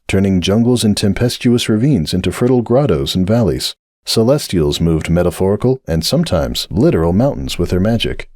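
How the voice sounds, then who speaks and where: Low, animated male storyteller. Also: dry recording, clean studio sound. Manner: expressive storytelling with shifting pitch. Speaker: narrator. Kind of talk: dramatic audiobook reading.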